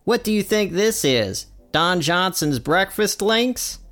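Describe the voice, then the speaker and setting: A man voicing a brisk, sardonic serving woman from a cartoon restaurant. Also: high voice, lively intonation, a bit bright, faint music behind, pitched above the performer's own voice, heavily acted.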